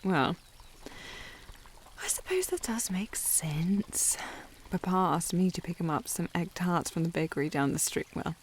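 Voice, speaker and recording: A woman's voice: fairly low pitch, lively intonation, unhurried, slight background noise. A woman playing a haughty young upper-class lady in a historical audio roleplay, formal and teasing in manner. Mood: conversational, confiding.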